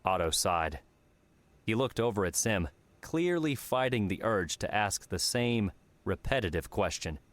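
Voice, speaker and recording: A man's voice: fairly low pitch, expressive, unhurried, a bit bright, dry recording. A man narrating an audiobook, reading scripted fiction.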